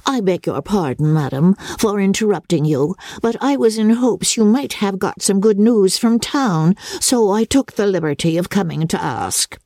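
A woman's voice: mid-range voice, normal speed, few pauses, warm tone, dry recording, studio quality, close to an everyday voice. A woman voicing an anxious, deferential female housekeeper in a literary audiobook, politely eager in her address. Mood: hopeful, apologetic.

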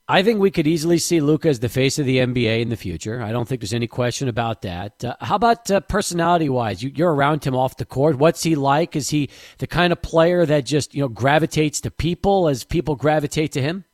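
A man hosts a sports talk radio show, putting questions to a guest in a chatty way. His voice sits at an ordinary pitch and sounds dry and close to the mic. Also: animated, a little fast, hardly any pauses, no echo. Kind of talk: radio interview hosting.

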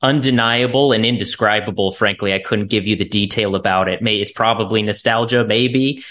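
A man with a fairly low voice answers as a guest in a video-call interview. He is reflective and thoughtful, feeling his way toward the right words. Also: brisk, fairly bright tone. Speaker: actor being interviewed.

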